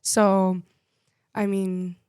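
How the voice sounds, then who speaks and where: A woman's voice: medium pitch, level tone, drawn-out words, a little mellow, smooth, dry recording. A young woman, a design student, answering openly and thoughtfully about her future. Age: young adult. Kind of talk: interview answers.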